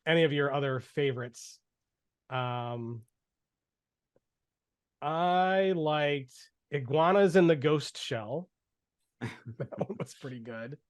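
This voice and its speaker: High-voiced man co-hosting a podcast, chuckling. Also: dry recording. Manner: laughs often, restarts phrases. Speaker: podcast co-host. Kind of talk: casual chat. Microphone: video call.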